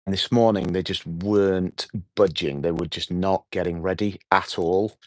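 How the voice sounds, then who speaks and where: A middle-aged man with a hoarse voice, hosting a relaxed, rambling evening livestream outdoors and chatting with his viewers. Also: low-pitched, normal speed, dry recording. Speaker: livestream host. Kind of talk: casual evening livestream chat. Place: outdoors at night.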